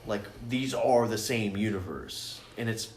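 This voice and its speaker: A man's voice: fairly low pitch, a little slow. A man co-hosting a podcast, chatting casually about films in an argumentative, sweary way. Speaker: podcast host. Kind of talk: casual film-fan chat. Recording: echoey room with clear background noise.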